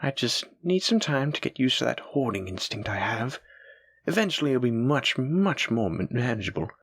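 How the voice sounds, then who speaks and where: A man playing a doting, gently possessive dragon-blooded librarian, speaking tenderly and coaxingly to his sweetheart in an intimate audio roleplay. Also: mid-range voice, sing-song, normal speed, breathy edge, dry recording, full character voice. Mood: tender, reassuring. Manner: tender, coaxing, affectionate, reassuring. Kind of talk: intimate scripted audio roleplay addressed to the listener.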